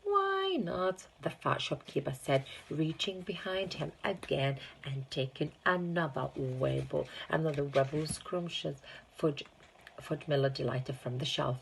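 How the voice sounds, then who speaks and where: A woman's voice: low voice, fairly even intonation, unhurried, vocal fry, slight background noise, poor audio. A woman reading a children's storybook aloud as narration. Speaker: teaching assistant reading aloud.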